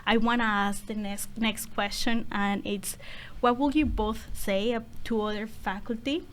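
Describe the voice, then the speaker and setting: A woman hosting a podcast, asking interview questions in a measured, deliberate way. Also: fairly high pitch, fairly even intonation, slight background noise, low-quality recording. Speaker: podcast host.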